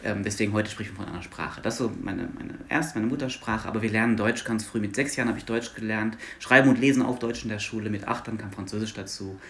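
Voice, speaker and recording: A man's voice: lowish voice, fast talker, hardly any pauses, a bit bright, slight background noise. A man from Luxembourg whose first language is Luxembourgish, a linguist guest explaining fluently in an academic interview.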